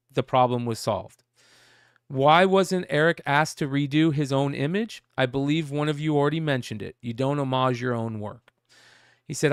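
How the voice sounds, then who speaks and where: Gravelly, smooth-voiced male show host. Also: mid-range voice, no echo, studio quality. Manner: rambling, reads out a message in plain voice. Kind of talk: casual comic news talk.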